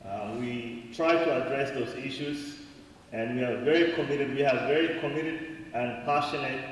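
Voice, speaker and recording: A man from the park board speaking earnestly at a community meeting, halting with many fillers. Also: medium pitch, slight background noise. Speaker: park board staff member. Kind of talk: public remarks at a community meeting. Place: large meeting hall. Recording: echoey hall, far from the mic.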